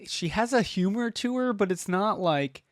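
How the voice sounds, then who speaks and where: Lively man reacting, dry close sound. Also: high-pitched, normal speed, no room sound. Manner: enthusiastic, musing aloud. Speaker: reaction video host. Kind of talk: casual reaction commentary.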